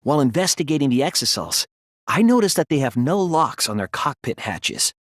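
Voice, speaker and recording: A man voicing an earnest young male detective in a video game, thoughtful and anguished but resolute, with deliberate, analytical phrasing. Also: medium pitch, big pitch swings, no room sound. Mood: analytical, serious. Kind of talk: dramatic video game voice acting, deductive explanation.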